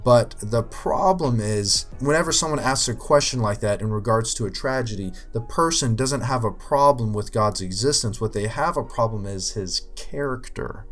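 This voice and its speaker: A man's voice: medium pitch, normal speed, hardly any pauses, soft background music. Earnest young man explaining faith. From Ukraine. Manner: earnest, explanatory, with many fillers. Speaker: youth minister. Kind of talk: scripted apologetics talk.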